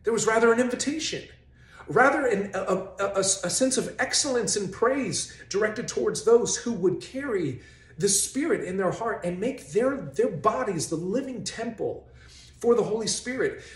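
A man in his forties hosting a faith video, giving sarcastic, mock-incredulous commentary straight to camera in a bright living room. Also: high-pitched, lively intonation, moderate pace. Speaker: host of a faith video series. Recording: roomy echo in a home.

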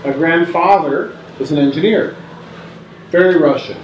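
Man, 40s, measured reader with halting restarts; distant mic, faint room ambience. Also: on the high side, reverberant, highs cut off, a little hiss or hum. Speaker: performer reading interview notes. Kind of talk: reading recorded interview answers aloud. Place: small indoor gallery room with hanging paper strips. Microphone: far camera mic.

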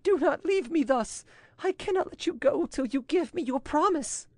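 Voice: sits high, animated, moderate pace, darkish tone, no room sound, clean studio sound, voice pushed up. A narrator voicing a frightened young Frenchwoman who pleads with theatrical, imploring urgency in an audiobook story. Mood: frightened pleading.

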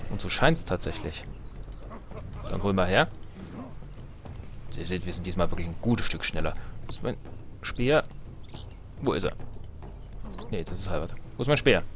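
A man's voice: fairly low pitch, expressive, normal speed, long pauses, a little hiss or hum. A man giving casual, jokey gameplay commentary, slangy and often talking to himself and to his game units. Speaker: let's player.

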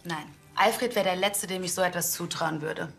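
An actress playing a polite, reserved funeral home worker in a TV crime drama. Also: female voice, fairly low pitch, normal speed, vocal fry, fairly bright tone, soft background music.

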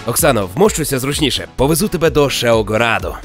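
A man's voice: mid-range voice, animated, quick pace. A man co-hosting a scripted video game news show, delivering banter and opinions with theatrical sarcasm. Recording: music bed behind the voice.

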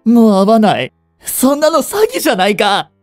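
An actor playing a young genius swindler in a romantic audio drama, lovestruck, jealous and boyishly flustered, speaking politely. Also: male voice, sits high, huge pitch swings, normal speed, dry recording, faint music behind. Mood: hurt, jealous. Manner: polite speech, stammering when flustered. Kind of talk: romantic audio drama acting, addressed to the listener.

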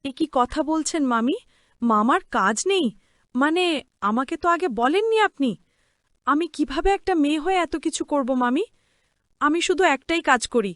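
A downtrodden young servant girl in a cartoon drama, played by a woman. Her voice is very high and plaintive, beseeching and respectful, recorded dry. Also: lively intonation, normal speed, pauses often, a bit bright, dry recording. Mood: dismayed, pleading. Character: put-upon young orphan housemaid pleading with aunt.

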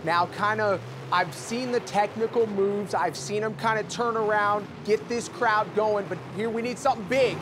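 A man doing excitable color commentary at a motorsport show, urging the drivers on. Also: high-pitched, moderate pace, few pauses, background noise. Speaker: sports commentator. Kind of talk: arena sports commentary.

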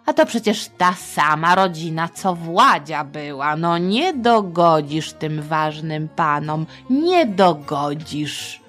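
A woman's voice: sits low, lively intonation, slow pace, hardly any pauses, fairly bright tone, soft background music. A middle-aged woman narrating history for children in a colloquial, playful way with affectionate diminutives. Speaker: podcast host and storyteller for children.

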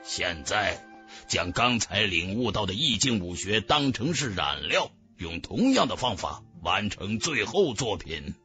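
A man voicing a stern, measured old martial arts master in an audiobook, instructing gravely. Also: fairly low pitch, very animated, pushed and bright, soft background music, pitched below the performer's own voice, exaggerated voice.

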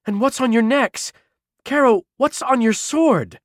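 A man voicing a brisk, protective young woman in an audiobook, with a colloquial, incredulous manner. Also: extremely high pitch, dry recording, studio quality, pitched above the performer's own voice. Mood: questioning.

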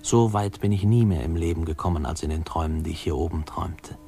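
A man playing a reflective narrator who wryly recalls his boyhood in a 1950s radio play, with musing pauses. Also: low voice, warm tone, soft background music, natural voice. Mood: wry, reminiscing. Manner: wry, musing, pausing.

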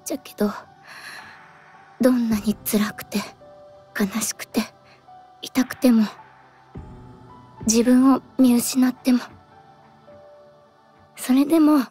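An actress playing a gentle, devoted fox spirit in an anime audio drama, speaking wistfully and breathily in a western Japanese dialect. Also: female voice, fairly high pitch, slow, long pauses, breathy edge, faint music behind. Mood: wistful, tender.

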